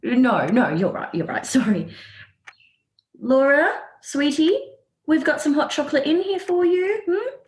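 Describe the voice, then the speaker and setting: A woman's voice: high voice, expressive, unhurried, echoey room. An actress in a radio play playing a flippant young woman who talks in a breezy, coaxing way.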